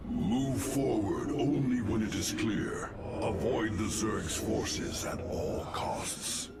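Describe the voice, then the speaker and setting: An actor playing a noble, resolute old alien warrior prelate in a video game, speaking in a solemn, formal, measured way. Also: male voice, on the low side, creaky, heavy background noise. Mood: grave resolve. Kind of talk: video game cutscene voice acting. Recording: loud music over the voice.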